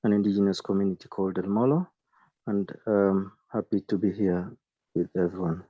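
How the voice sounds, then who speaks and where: A man from Kenya introducing himself on a video call, halting and hesitant. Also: sits low, steady tone, no echo. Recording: dull, dry call audio.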